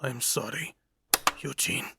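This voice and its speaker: A man playing a soft-spoken, menacing crime boss in a comic dub, with measured, falsely regretful delivery. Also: mid-range voice, gravelly, heavy background noise. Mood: grave, regretful.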